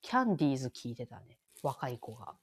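A woman's voice: extremely low pitch, very animated, quick pace, slightly rough. A woman in her thirties with a Kansai accent, chatting casually as a podcast co-host. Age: 30s. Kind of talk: casual chat.

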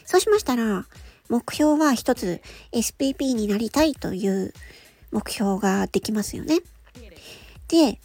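A woman's voice: on the high side, expressive, moderate pace, darkish tone, no echo, soft background music. A woman hosting an audio show, explaining things step by step in a casual, polite way.

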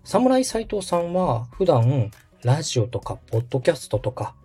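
A man's voice: on the high side, big pitch swings, moderate pace, warm tone, breathy edge, soft background music. A man in his thirties from Osaka hosting a podcast, explaining things politely, patiently and step by step. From Osaka, Japan. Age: 30s. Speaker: podcast host, radio director.

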